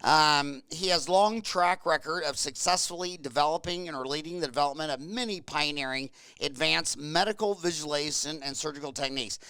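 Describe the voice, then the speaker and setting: A man hosting a conversational podcast interview, putting his questions in a halting way with repeated restarts. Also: fairly high pitch, bright, forceful tone, clear, resonant tone, no echo.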